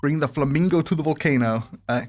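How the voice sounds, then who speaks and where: A woman's voice: sits low, fast talker, darkish tone, soft background music. A woman giving casual game commentary as a streamer, playful, giggly and sweary. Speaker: gaming streamer.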